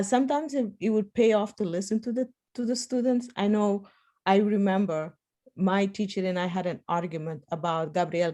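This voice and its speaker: A woman's voice: mid-range voice, warm tone. A woman who grew up in Portugal and Spain, a native Portuguese speaker and political science professor, joining a workshop discussion over a video call and telling a story with laughs.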